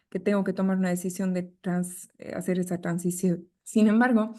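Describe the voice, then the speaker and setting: A woman in her thirties with an Ecuadorian accent, a guest nutritionist speaking reflectively and gently, with hesitant repetitions. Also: medium pitch. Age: 30s. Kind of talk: conversational podcast interview.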